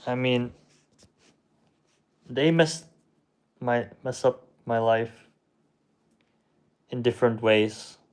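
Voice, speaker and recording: A young man whose first language is Czech, hosting a casual livestream and answering chat in a slow, hesitant, shrugging way. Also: mid-range voice. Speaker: livestream host reading chat.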